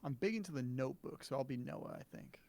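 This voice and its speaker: A man's voice: medium pitch, expressive, fast talker, creaky, dark tone. A man co-hosting, chatting casually and cracking jokes. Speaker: co-host. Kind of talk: casual joking chat.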